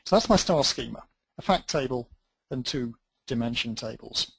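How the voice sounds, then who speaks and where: A man's voice: medium pitch, animated, normal speed, gravelly, a bit bright, husky, no room sound, rough recording. A man teaching a database tutorial, thinking aloud as he types through a live demo with dry, self-deprecating asides. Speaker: database instructor.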